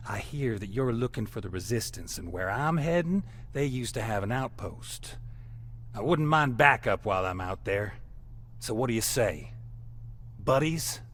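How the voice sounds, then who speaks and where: An actor playing a cocky, foul-mouthed, wisecracking survivor in a video game, mocking and swaggering. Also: male voice, medium pitch, fairly bright tone, a little hiss or hum. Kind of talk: video game cutscene acting.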